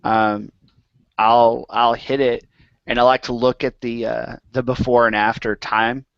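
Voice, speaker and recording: A man's voice: medium pitch, flat delivery, unhurried, gravelly, smooth, no room sound. Easygoing male podcast host, dull lo-fi sound. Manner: easygoing, hesitant with fillers. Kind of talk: casual chat. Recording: dry, dull lo-fi recording.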